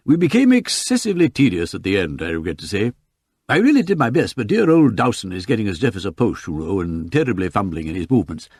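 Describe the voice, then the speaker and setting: Male reader playing self-assured English courtroom advocate, affable and effusive, animated delivery with some rasp. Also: on the low side, fast talker, no echo, studio quality, full character voice. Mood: apologetic, hearty.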